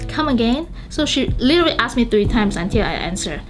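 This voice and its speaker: A woman's voice: a little high, sing-song, fairly quick. A woman with a Malaysian accent telling anecdotes in an interview and acting out the quotes. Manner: storytelling, acts out quotes. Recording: background music throughout.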